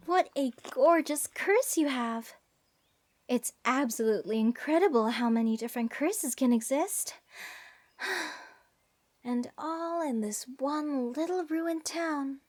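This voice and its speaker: A woman's voice: sits high, takes it slowly, a little mellow. A woman playing a giddy, unhinged mage who gushes over cursed monsters in a fantasy ASMR roleplay. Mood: gushing, fascinated. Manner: breathy gasps, gushing, rambling, sweetly menacing.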